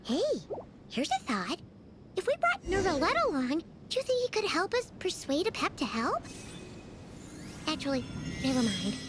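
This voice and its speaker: A woman voicing a perky, childlike fairy companion in an anime game, speaking with a squeaky voice. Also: wildly swooping pitch, normal speed, pauses often, background noise, heavily acted. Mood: musing. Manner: speaks of herself in third person, perky. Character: small chirpy fairy girl companion and guide.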